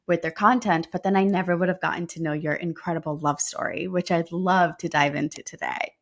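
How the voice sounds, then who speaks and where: A woman's voice: fairly low pitch, fairly even intonation, a little fast. A woman hosting a casual interview podcast, asking questions and affirming her guest often. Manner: warm affirming interviewer, frequent agreeing "yeah". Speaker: podcast host.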